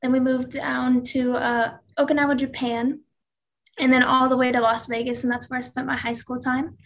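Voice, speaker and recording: Husky-voiced young woman from Louisiana. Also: sits high, flat delivery, moderate pace. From Louisiana, USA. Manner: earnest and friendly, with frequent fillers and restarts. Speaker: guest, athletic trainer. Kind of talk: interview.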